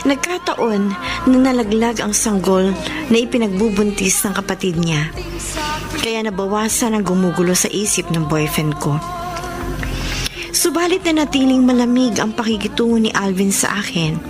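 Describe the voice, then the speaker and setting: A woman's voice: mid-range voice, moderate pace, natural voice. An actress playing a loyal, lovelorn young woman in a Filipino radio drama. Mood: sorrowful. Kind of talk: Filipino radio drama acting, letter narration. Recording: music bed behind the voice. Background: music.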